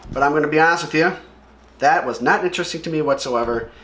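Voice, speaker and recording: A man's voice: a little high, fast, a bit bright. A man hosting a comic read-along with casual, offhand and opinionated commentary as he describes what he sees. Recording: echoey room.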